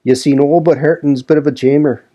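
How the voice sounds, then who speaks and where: A man's voice: a little high, fairly even intonation, fairly quick, warm tone, voice pushed up, lightly acted. A man voicing a grumbling, pious old manservant who scolds in a broad rustic dialect. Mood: scolding. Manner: scolding, preachy.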